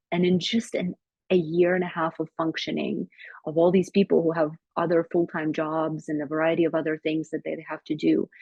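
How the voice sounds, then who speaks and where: Ukrainian-accented woman panelist, husky, flat delivery, lo-fi webinar audio. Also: low-pitched, dry recording. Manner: earnest, measured, frequent fillers. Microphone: video call. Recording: dull lo-fi video call audio.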